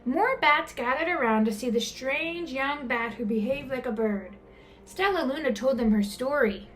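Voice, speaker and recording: A woman reading a children's picture book aloud as an expressive storyteller. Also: sits high, normal speed, slight background noise, poor audio. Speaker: storyteller, teacher.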